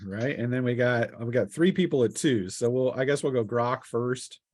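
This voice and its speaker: A man's voice: mid-range voice, moderate pace, a little mellow, dry recording, studio quality. A man acting as game master, casually narrating a tabletop role-playing session and calling out turns.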